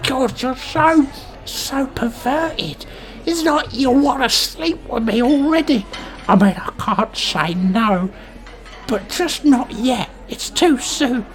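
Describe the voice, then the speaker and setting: A woman playing a pushy, flirtatious, suggestive dinner date with a croaky voice in an improvised comedy radio play. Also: high voice, expressive, soft background music, poor audio. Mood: flirty, bossy. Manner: drawn-out, suggestive, teasing delivery.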